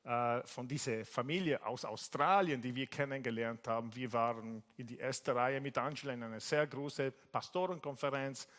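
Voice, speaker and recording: A male pastor from Italy preaching in German with an Italian accent, telling a story in a lively way and jumping much higher in pitch when he quotes people. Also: moderate pace, few pauses. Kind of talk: sermon with anecdote. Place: church service.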